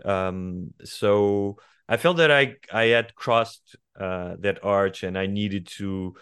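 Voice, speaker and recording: A man in his forties from Portugal with a Portuguese accent, speaking as a guest writer in an interview, thoughtful and hesitant, often pausing and restarting. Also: on the low side, fairly bright tone, clean tone, dry recording, clean studio sound. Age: 40s. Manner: reflective, hesitant with many fillers and restarts. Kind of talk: conversational interview.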